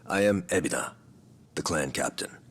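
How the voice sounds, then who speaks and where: An actor playing a composed, formal yakuza clan captain in a video game cutscene, courteous and businesslike. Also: male voice, extremely low pitch, lively intonation, slightly rough, full character voice. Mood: courteous, controlled. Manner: polite, measured wording, businesslike.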